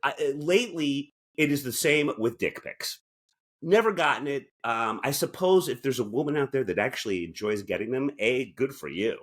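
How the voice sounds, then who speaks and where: A middle-aged man with a very lively, swooping delivery and a mid-range voice. He chats casually as a podcast co-host, wandering through halting asides and jokes. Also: medium pitch. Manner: rambling, joking, halting asides. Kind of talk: casual comedic chat.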